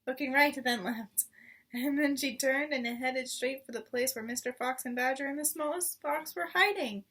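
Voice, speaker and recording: Casting: woman storybook reader, high-pitched, expressive, recorded some distance from the mic. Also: normal speed, breathy edge. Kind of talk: expressive children's read-aloud narration.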